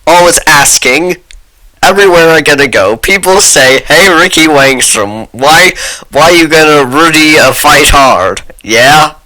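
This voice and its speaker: Boastful male internet fighter, read by a man, bright voice, slow emphatic broken phrasing, comic blog reading. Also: a little high, lively intonation, poor audio. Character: boastful male fight-obsessed blogger with mangled grammar.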